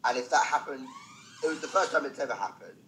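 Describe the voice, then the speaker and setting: A male stand-up comedian chats as a podcast guest, spinning anecdotes in a self-deprecating way. His voice sits at an average pitch for a man, and he drops in wry asides as he goes. Some background noise runs under the recording. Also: lively intonation, fast talker, a bit bright, low-quality recording. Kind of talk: conversational comic anecdote telling.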